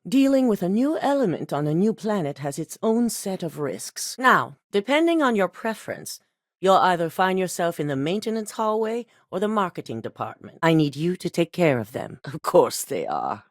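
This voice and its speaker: An actress voicing a cool, calculating female corporate executive in a game, speaking in a composed, measured, authoritative way. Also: fairly low pitch, very animated, dry recording, clean studio sound. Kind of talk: game voice acting.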